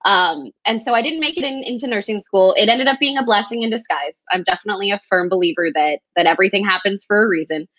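A woman's voice: mid-range voice, fast talker, few pauses, a bit bright, no echo. Woman from Texas, podcast guest, candid storytelling, dry close sound, slightly muffled. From El Paso, Texas. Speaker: guest, recruiter. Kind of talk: casual interview storytelling.

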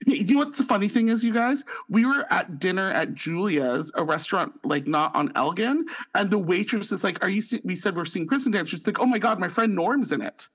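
A man from Canada co-hosting a podcast, animated and quick to laugh as he tells stories. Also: high voice. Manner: animated storyteller, laughs easily. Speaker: podcast co-host. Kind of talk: casual chat.

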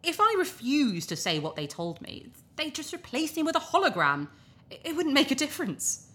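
Cynical, worldly TV presenter, sci-fi audio drama. Breezy persuader with swooping intonation, echoey room. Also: female voice, high voice, big pitch swings, moderate pace, pushed and bright, exaggerated voice. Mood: unrepentant. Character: glib, cynical, charming female TV propaganda presenter. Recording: roomy, echoing sound.

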